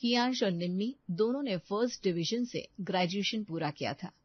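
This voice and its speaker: A woman's voice: medium pitch, moderate pace, dry recording, dull audio. A woman reading a scripted story as its narrator.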